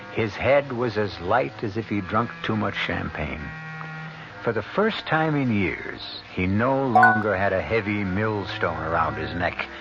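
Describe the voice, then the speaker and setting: Low, dramatic male mystery host. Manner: ominous, dramatic, deliberate. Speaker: host and narrator. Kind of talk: scripted radio mystery narration. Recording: muffled old radio broadcast, music behind.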